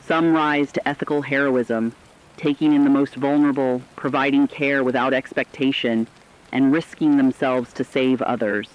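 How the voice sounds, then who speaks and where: Muffled lo-fi radio drama, guy as serious reporter, normal pitch, formal solemn measured narration. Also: fairly even intonation, lightly acted. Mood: grave. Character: grave radio co-reporter describing survivors of catastrophe.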